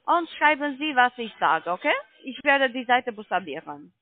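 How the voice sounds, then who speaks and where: A woman who is not a native speaker, giving a halting telephone sales pitch as a call centre agent, often checking with questions. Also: sing-song, no echo. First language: not German. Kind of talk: persuasive phone sales pitch. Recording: tinny narrow phone line.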